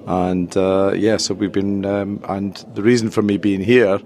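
A man's voice: deep voice, flat delivery, a little slow, darkish tone, slight background noise. A middle-aged man with a Scottish accent, explaining things conversationally as a guest in a casual podcast interview. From Scotland. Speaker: interview guest, tech company chief executive.

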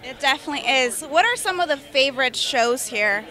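A woman's voice: high-pitched, lively intonation, brisk, pushed and bright. A young woman hosting a red-carpet interview outdoors on a handheld microphone, with light crowd noise behind her. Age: young adult. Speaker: interviewer, on-camera host. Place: outdoor press backdrop at a theme park at night. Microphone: handheld reporter microphone.

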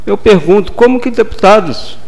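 Older man, councilman, formal deliberate delivery, desk mic in a chamber. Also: sits high, a little mellow. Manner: measured, formal, deliberate pauses. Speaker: city councilman. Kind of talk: formal legislative speech. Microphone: desk gooseneck microphone.

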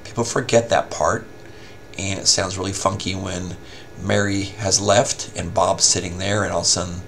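A man giving a casual, step-by-step software tutorial as a help desk instructor. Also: deep voice, level tone, moderate pace, gravelly, a little hiss or hum. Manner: informal step-by-step explaining.

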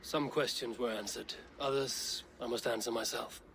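A man playing an earnest, deliberate Viking warrior leader in a dramatic video game. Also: mid-range voice, fairly even intonation, vocal fry, a bit bright, a little hiss or hum. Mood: measured, thoughtful.

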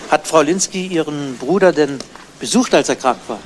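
An actor playing a polite but persistent police investigator, probing in a casual, hesitant way in a 1970s German crime radio play. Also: male voice, a little high, normal speed, lightly acted. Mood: matter-of-fact. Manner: hesitant, casual probing with ums and trailing questions. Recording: noisy old radio play recording.

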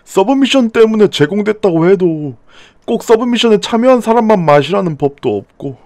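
A man's voice: high-pitched, expressive, moderate pace, darkish tone, dry recording. A man voicing a timid, anxious young man who talks hesitantly and pleads.

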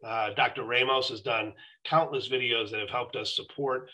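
Middle-aged guy on a video call pitching his company, super bright punchy voice, medium pitch. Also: steady tone, moderate pace, poor audio. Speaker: dental company executive, guest. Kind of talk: sales-minded explanation on a live stream. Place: room at home or office, indoors.

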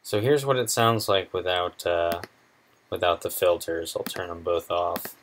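A man in his twenties presenting a casual software tutorial in an informal, halting, unscripted way. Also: low-pitched, fairly even intonation, a little hiss or hum. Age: 20s.

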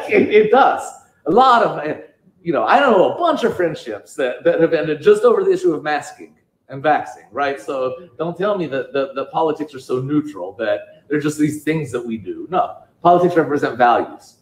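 A man giving a public talk at a bookstore event, speaking haltingly with frequent restarts and "you know"s. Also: a little high, animated. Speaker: guest speaker. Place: bookstore event space with audience. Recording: echoey room.

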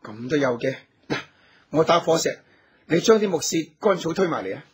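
A man acting a devoted, gentle hunter hero with a husky voice in a Hong Kong Cantonese radio drama. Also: a little high, expressive, normal speed, slight background noise, natural voice. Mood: caring, coaxing. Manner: gentle, solicitous, earnest. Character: tender, devoted hunter hero and new husband.

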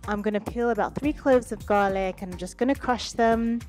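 A woman narrates a home cooking video in an easygoing, step-by-step way, with a voice of middling pitch. Clear background music plays underneath her voice-over. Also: moderate pace. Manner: relaxed step-by-step instructions.

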